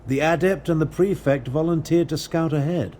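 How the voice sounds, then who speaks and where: An actor playing a stern, scholarly mage in a video game, speaking in formal, grave, deliberate phrases. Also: male voice, fairly high pitch, a little mellow, a little hiss or hum, lightly acted. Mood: resolute.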